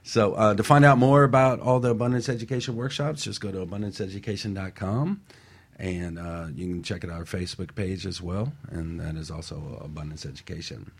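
A man's voice: low-pitched, steady tone, a little fast, gravelly, breathy edge. A man co-hosting a motivational talk show in a casual chat, speaking emphatically like a coach.

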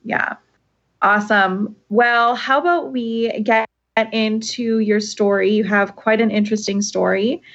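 A woman's voice: medium pitch, steady tone, a little slow, fairly bright tone, lo-fi sound. A woman hosting a podcast from home, friendly and chatty with many fillers. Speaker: podcast host. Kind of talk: casual remote interview. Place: her home. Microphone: remote call from home.

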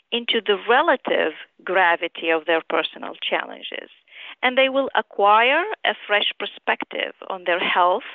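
A middle-aged woman from Syria giving measured, articulate, formally phrased answers as an author and physician in an interview over the telephone. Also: on the high side, no echo. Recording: tinny narrow phone line.

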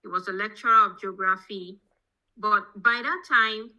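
She is a Nigerian-accented woman speaking as an interview guest. Her voice is strikingly bright and forceful, with a keen edge to it. The delivery is conversational, like an academic explaining her own path. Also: medium pitch, fairly even intonation, moderate pace, lo-fi sound. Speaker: podcast guest, petroleum engineering professor. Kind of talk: conversational interview answers, telling own background.

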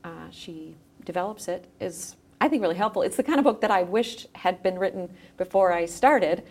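A woman's voice: on the low side, moderate pace, a little mellow. A woman speaking as a guest in a conversational interview, explaining her work like a scholar. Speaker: podcast guest, scholar and journal editor.

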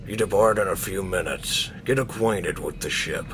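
A man's voice: deep voice, normal speed, noisy background, natural voice. A man playing an authoritative, formal starship commander in a sci-fi audio drama. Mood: stern, businesslike. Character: commanding male mission commander briefing a starship crew.

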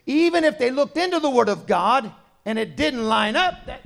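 Man, pastor, sermon, conversational preaching, soft asides, loud emphatic points, raised pitch. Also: animated, normal speed, vocal fry.

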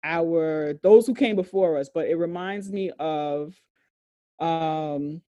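A woman with a deep voice, co-hosting a podcast in a candid casual discussion, emphatic and profane, stretching out key phrases. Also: takes it slowly, mellow, dry recording, muffled recording. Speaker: podcast co-host.

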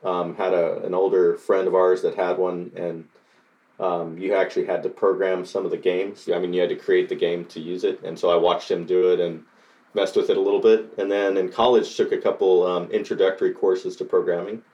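Low-voiced middle-aged man, halting answers. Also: little pitch movement, a little mellow, muffled recording. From Costa Rica. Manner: hesitant, many fillers and restarts. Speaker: coding bootcamp graduate. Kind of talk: interview answers.

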